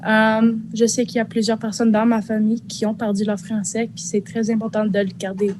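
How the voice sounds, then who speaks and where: A teenage girl from northern Ontario, a high school student speaking casually and hesitantly, with many fillers. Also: flat delivery, a little fast, noisy background. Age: teens. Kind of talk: spoken testimony.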